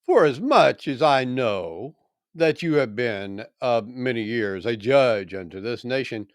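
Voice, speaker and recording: A man voicing an accused apostle defending himself in a scripture reading, earnest, formal and measured. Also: mid-range voice, animated, no echo, natural voice. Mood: composed, earnest.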